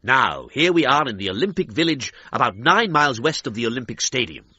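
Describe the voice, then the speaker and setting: Bright, lively 1930s commentator. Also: male voice, medium pitch, a little fast, slight background noise. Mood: upbeat. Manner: descriptive, presentational. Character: chipper 1930s male British newsreel-style commentator. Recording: slightly dull, lo-fi period radio sound.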